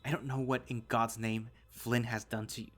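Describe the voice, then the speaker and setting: This is a man performing a hot-tempered animal father in an audio drama. He scolds with scorn and accusation, stern and forceful, over a music bed. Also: mid-range voice, level tone, normal speed, close to an everyday voice. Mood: hateful anger.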